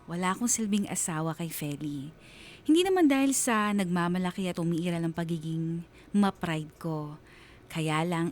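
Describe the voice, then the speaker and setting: A man's voice: sits high, a little mellow, a little hiss or hum, lightly acted. A performer narrating as an anxious, wounded husband telling his story in a letter on Filipino radio. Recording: music bed behind voice.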